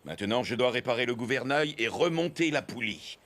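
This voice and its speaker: Brief: a gentle, older cartoon elephant king, calm with lively intonation. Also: medium pitch, brisk. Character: kindly grandfather elephant king.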